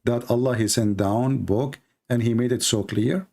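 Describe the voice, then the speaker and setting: Male debate host, native Arabic speaker with Arabic accent, mid-pitched gravelly voice, insistent and challenging. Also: fairly even intonation, normal speed, a little mellow. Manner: insistent, challenging questioning, repeats points. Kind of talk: argumentative live religious debate.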